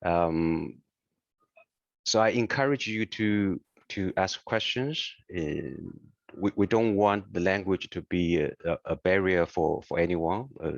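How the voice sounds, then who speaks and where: A man who speaks English as a second language, hosting an informal online tutorial in a careful, halting way. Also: low-pitched. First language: not English. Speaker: webinar host and software trainer. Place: indoor room, online webinar. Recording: muffled, roomy online call audio.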